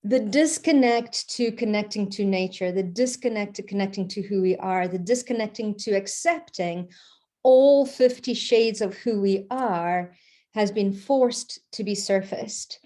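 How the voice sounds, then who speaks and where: Mellow woman. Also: medium pitch, measured pace. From Portugal. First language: Portuguese. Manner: checks in with a frequent questioning "okay?"; loose and rambling, with fillers and restarts. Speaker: Reiki practitioner. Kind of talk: reflective spiritual talk.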